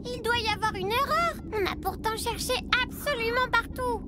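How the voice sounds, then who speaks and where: A woman voicing excitable, curious young child mini-trucks in a children's cartoon, exclamatory and wide-eyed. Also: extremely high pitch, moderate pace, heavy background noise. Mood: eager searching.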